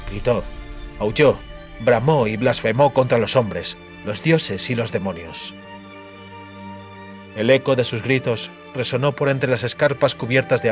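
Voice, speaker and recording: A man reading a fantasy audiobook in a measured, dramatic storytelling manner. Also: medium pitch. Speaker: audiobook narrator. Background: background music.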